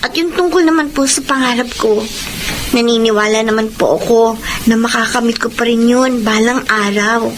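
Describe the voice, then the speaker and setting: A dutiful Filipino schoolgirl played by a woman in a TV family drama, with a high, earnest voice. The recording has clear background noise. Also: normal speed, natural voice. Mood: earnest, resolute. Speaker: actress in a TV drama.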